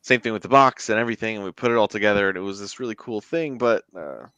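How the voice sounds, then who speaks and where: Very deep, smooth-voiced man chatting casually. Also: quick pace, bright, forceful tone, no echo, dull audio. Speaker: guest, indie tabletop game creator. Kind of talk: casual interview chat.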